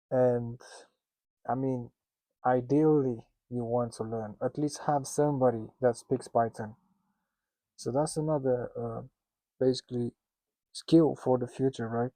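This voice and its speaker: Slow, gravelly man with a Russian accent. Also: medium pitch, dark tone, no room sound, poor audio. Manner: joking and self-mocking, with loose asides; halting, with many restarts and fillers. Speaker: instructor teaching founders. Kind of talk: casual spoken lesson.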